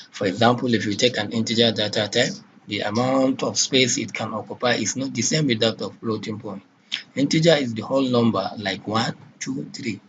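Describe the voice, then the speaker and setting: A man's voice: medium pitch, a little hiss or hum, poor audio. A man with a Nigerian accent teaching a programming class, asking questions and checking in with "okay?". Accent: Nigerian English. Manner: checks in with repeated "okay?", asks and answers questions. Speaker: lecturer. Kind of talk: classroom lecture.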